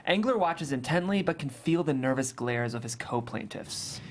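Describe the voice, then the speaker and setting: A narrator reading scripted stage directions at a table read in a deliberate, descriptive way. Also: medium pitch, expressive, slight background noise.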